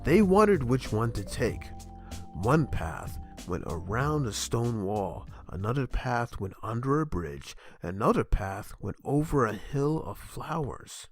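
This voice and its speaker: A man narrates a children's read-aloud tale with a very lively, swooping delivery. His storytelling is expressive and animated, with music playing faintly behind him. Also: medium pitch, unhurried, breathy edge.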